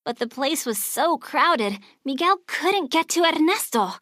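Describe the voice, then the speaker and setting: A woman narrating a children's storybook aloud in an expressive, scripted read-along style. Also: high-pitched, unhurried, no echo, studio quality.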